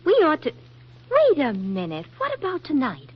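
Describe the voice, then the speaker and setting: Lively, high-voiced scheming American flirt. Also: female voice, moderate pace. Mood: sweetly coaxing. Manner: coy, theatrical, wisecracking. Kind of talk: 1940s radio comedy-drama acting. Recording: dull vintage radio recording, faint music.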